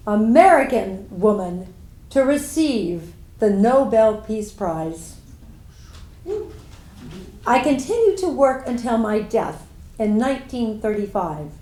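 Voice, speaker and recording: Woman playing an earnest woman reformer, echoey room. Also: on the high side. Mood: proud, solemn. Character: Jane Addams, earnest, proud woman social reformer recounting her life. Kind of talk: scripted first-person dramatic monologue, read on stage. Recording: echoey room, live stage reading.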